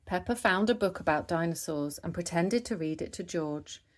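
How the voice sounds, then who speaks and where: A woman reading a children's story aloud, with expressive narration phrased for young listeners. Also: on the low side, normal speed. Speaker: storybook reader.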